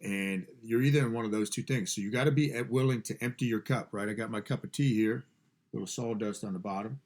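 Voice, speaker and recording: A man's voice: fairly low pitch, a little fast, a little mellow. A man hosting a podcast from his garage studio, sounding stuffed up and nasal from allergies. He talks in a casual, emphatic way, repeating key phrases and often restarting sentences. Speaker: podcast host and fasting coach. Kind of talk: casual motivational talk.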